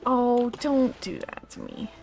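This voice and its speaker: A woman's voice: fairly high pitch, slow pace, a little mellow. A tired woman streaming a video game, muttering and whispering casual asides to herself. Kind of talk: casual gameplay commentary. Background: game music.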